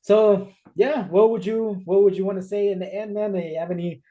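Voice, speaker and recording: A man's voice: sits high, steady tone, brisk, narrow, tinny audio. A man hosting a podcast over a video call, talking in a casual, upbeat way and often laughing or repeating himself. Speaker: podcast host. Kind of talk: podcast interview. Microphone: remote video call.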